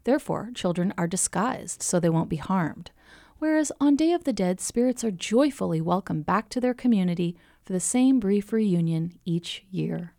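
A woman's voice: medium pitch, animated, normal speed, dark tone, no echo, clean studio sound. A woman podcast host reading scripted explanatory narration.

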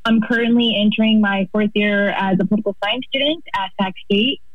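A woman's voice: medium pitch, steady tone, brisk, no echo. A young woman from California's Central Valley calls in to a radio show as a student guest. She talks about herself earnestly and rambles a little. Her voice comes through a narrow, tinny phone line and sounds dry and close. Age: young adult. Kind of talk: personal introduction on a call-in.

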